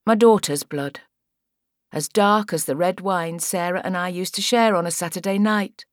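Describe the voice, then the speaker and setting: Woman reading a thriller audiobook, bit low, measured and dramatic, super dry sound. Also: no echo. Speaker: audiobook narrator. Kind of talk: scripted first-person thriller narration.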